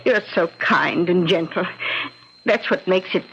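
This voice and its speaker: An actress playing a doting, genteel elderly aunt in a radio drama, with a cooing, fussy, indulgent manner. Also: female voice, lowish voice, measured pace. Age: older. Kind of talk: old-time radio drama acting. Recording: dull vintage radio recording, faint noise.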